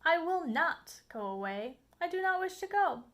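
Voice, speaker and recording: A woman's voice: very high voice, sing-song, moderate pace, fairly bright tone, voice pushed up, heavily acted. A woman voicing a playful, boastful showman cat in a children's storybook, in a showy, coaxing, exclamatory way. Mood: defiant, eager. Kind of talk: storybook read-aloud.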